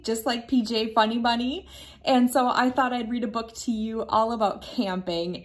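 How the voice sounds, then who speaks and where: A woman in her thirties reading a picture book aloud to children, gentle and playful, laughing now and then, recorded on a phone camera indoors. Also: mid-range voice. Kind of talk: read-aloud storytime for kids. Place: bright room indoors.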